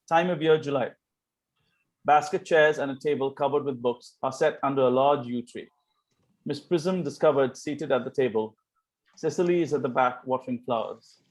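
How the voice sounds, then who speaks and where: A man's voice: medium pitch, moderate pace, long pauses, rough recording. A man reading out scene-setting stage directions as narrator for a play table read.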